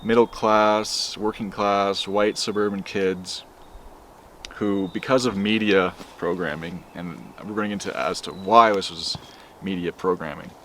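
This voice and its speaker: A young man narrating a commentary video in a measured, lecturing way. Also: low-pitched, fairly even intonation, a bit bright, a little hiss or hum.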